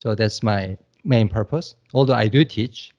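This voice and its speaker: A middle-aged man with a Korean accent, Korean his first language, chatting as a guest teacher on a video call, relaxed and playful. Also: lowish voice, moderate pace, highs cut off. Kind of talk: casual interview over video chat.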